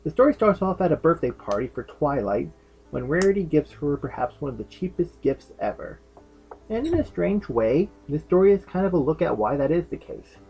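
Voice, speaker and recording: A man's voice: on the high side, sing-song, moderate pace, creaky, warm tone, low-quality recording. A man hosting a fan fiction review, narrating in a chatty, opinionated way with enthusiastic, casual comic asides. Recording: music behind the voice. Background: background music.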